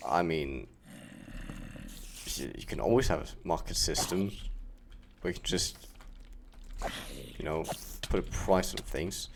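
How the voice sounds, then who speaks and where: Young male streamer, German accent, unhurried gravelly delivery, easygoing banter. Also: low-pitched, noisy background. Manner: relaxed, chatty, laughing and joking with a co-player. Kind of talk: casual gaming chat.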